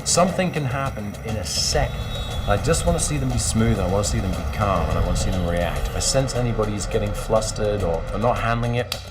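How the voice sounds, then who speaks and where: A calm, watchful man speaks firmly in a lowish voice. Loud noise surges all around him, nearly burying his words. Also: brisk. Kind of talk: interview to camera.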